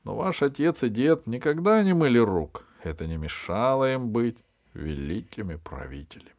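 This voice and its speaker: A man's voice: medium pitch, sing-song, moderate pace, darkish tone, dry recording, studio quality, pitched above the performer's own voice. A man voicing a stern, sanctimonious villain bishop from a children's story, formal and declamatory. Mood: insinuating.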